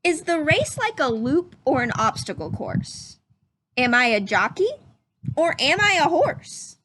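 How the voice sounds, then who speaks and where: A woman's voice: sits high, very animated, measured pace, a bit bright, heavily acted, poor audio. A woman voicing a curious little boy in a storybook, asking earnest questions with questioning, rising phrasing. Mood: earnest wondering. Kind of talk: storybook read-aloud.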